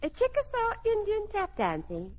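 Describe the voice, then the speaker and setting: A woman's voice: very high voice, huge pitch swings, normal speed, darkish tone, full character voice. An actress playing a dotty, cheerful older mother in a radio comedy, fluttery and exclaiming. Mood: coy, flustered. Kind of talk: 1940s radio comedy acting. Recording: dull, noisy old radio recording.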